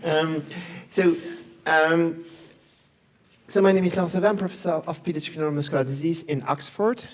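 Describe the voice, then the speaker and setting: Guy giving a talk, slightly high voice, self-deprecating and frank. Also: moderate pace. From Belgium. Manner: candid, self-deprecating, lively presenter. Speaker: conference speaker, professor. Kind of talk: conference talk.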